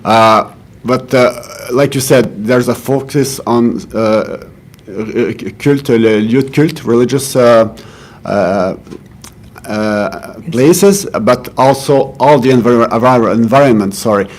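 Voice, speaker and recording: A man with a French accent and a medium-pitched voice gives a police briefing in English. He speaks haltingly, repeating syllables as he searches for words. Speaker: police chief inspector giving a press briefing. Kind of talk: press conference answers.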